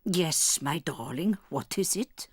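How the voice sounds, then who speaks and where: A woman's voice: deep voice, lively intonation, slow pace, darkish tone, husky, no echo, clean studio sound, exaggerated voice. A woman playing a doting, conspiratorial old grandmother, bold and sprightly, in an audio drama. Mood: fond, curious.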